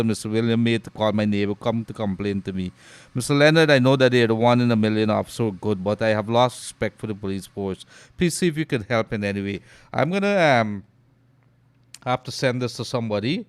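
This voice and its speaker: A Guyanese man hosting a call-in radio show. He reads out listener messages and comments on them with loud emphasis. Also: mid-range voice, clear, resonant tone, no room sound. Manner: loud and animated on air, trails off and murmurs when aside. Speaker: radio host. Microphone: studio mic.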